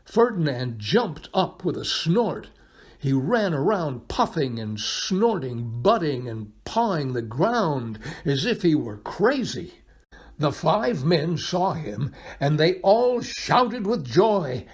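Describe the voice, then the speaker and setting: Slow, animated man reading a children's storybook. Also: on the high side, breathy edge. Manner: expressive, dramatic pauses, addresses young listeners. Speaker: volunteer storybook reader. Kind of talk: children's picture book read-aloud.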